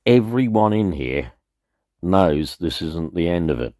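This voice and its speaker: A man playing an injured, dazed railway worker in a radio play, talking in a halting, shaken way. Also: low-pitched, sing-song, mellow, no echo, clean studio sound. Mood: shaken, grim. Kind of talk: radio play disaster dialogue.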